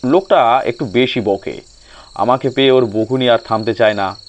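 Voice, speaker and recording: A man narrating a short story in a measured, literary storytelling manner. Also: mid-range voice.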